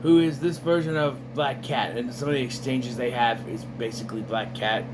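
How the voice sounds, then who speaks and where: A man's voice: mid-range voice, steady tone, moderate pace, noisy background. Rambling sarcastic man reviewing a cartoon. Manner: rambling, sarcastic, many fillers. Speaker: video reviewer. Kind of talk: casual commentary.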